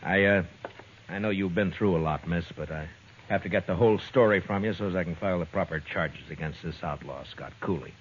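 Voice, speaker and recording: A man playing a deep-voiced stern frontier marshal. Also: a little fast, slight background noise, natural voice. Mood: calm. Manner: firm, dry, blunt and threatening. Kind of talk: 1950s radio drama acting. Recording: muffled vintage radio transcription.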